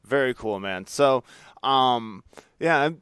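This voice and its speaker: A man's voice: medium pitch, slow pace, fairly bright tone, smooth, no echo, studio quality. A man from the American Midwest hosting a podcast interview in a casual, agreeable, informal way. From United States, Midwest. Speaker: podcast host.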